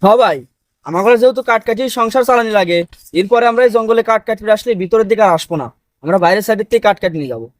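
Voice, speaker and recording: A man's voice: sits high, fast, no echo, lightly acted. A man playing a poor, cautious woodcutter, earnest and rustic. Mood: anxious resigned. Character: poor village woodcutter, anxious, cautious, pious.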